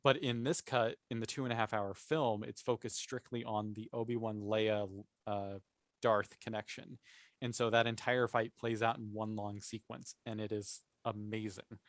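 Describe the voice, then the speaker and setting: A man's voice: on the low side, flat delivery, moderate pace, no room sound, clean studio sound. A man talking casually and with emphatic enthusiasm as a panelist in a fan discussion podcast.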